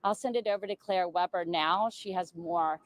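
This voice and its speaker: A woman's voice: fairly low pitch, normal speed. A woman presenting live television news as an anchor.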